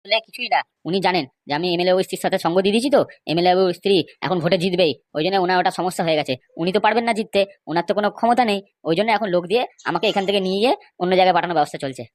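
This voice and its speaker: Man playing a rapid-fire, quarrelsome cartoon fellow. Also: high voice, dry recording, lightly acted. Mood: argumentative. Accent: West Bengal Bengali. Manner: scolding, insistent, rhetorical questions. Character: argumentative, indignant cartoon men bickering over money. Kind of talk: amateur comedy cartoon dialogue.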